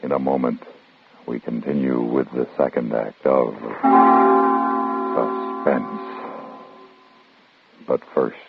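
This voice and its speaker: A man announces a vintage suspense broadcast. His voice is extremely low and creaky, and he takes his time. Music plays behind him on the dull old recording. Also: little pitch movement, takes it slowly, long pauses. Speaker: radio show announcer. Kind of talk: 1950s radio suspense announcing.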